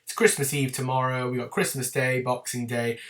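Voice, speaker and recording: A man talks to camera about a film series in a loose, chatty way, with plenty of ums and little apologies. His voice sounds congested and slightly rough from a lingering cold. He is picked up from a little distance away from the microphone. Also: medium pitch, little pitch movement, a little fast, fairly bright tone. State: bunged up and slightly rough from a winter illness. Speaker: YouTube channel host. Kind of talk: casual film review to camera.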